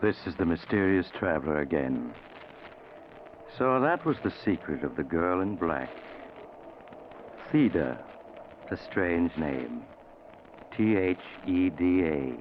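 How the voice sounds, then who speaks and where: An actor playing a mysterious traveler who narrates a 1940s radio drama in a confiding, theatrical, deliberate way. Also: male voice, low-pitched, lively intonation. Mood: sly, confiding. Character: mysterious male traveler, sly, genial, eerie storyteller. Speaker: host-narrator. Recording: tinny, noisy 1940s radio recording.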